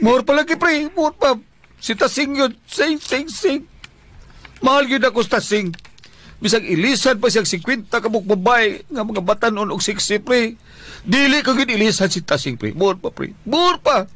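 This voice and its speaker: A man's voice: extremely high pitch, expressive, moderate pace, fairly bright tone, no room sound, heavily acted. A man playing a devoted, stubborn older widower in a comic Bisaya radio drama, pleading plaintively and repeating himself. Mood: impassioned devotion. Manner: plaintive, insistent, repetitive.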